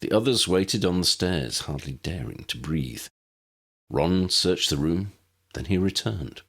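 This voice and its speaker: Audiobook narrator: man, creaky low voice, reading scripted fiction with lively inflection. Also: measured pace.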